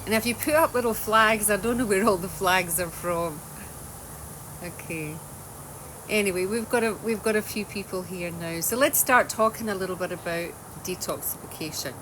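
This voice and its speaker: A woman's voice: medium pitch, moderate pace, noisy background. A woman with a Scottish accent, a wellness coach talking casually on a livestream, loose and chatty and often restarting her sentences. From Scotland. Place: home in Australia.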